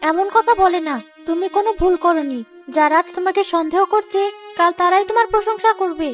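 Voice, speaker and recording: A loyal young-sounding wife acted by a woman speaks in a high voice. She is soothing, earnest and supportive, as in a cartoon folk tale, with music underneath. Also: fairly quick. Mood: consoling. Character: supportive, consoling wife of a poor porter. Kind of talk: Bengali cartoon moral tale dialogue. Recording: music behind the voice.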